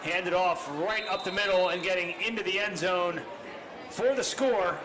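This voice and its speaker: High-voiced play-by-play man. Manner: brisk, factual play calling. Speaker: play-by-play commentator. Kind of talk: live high school football commentary. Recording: noisy live stream audio.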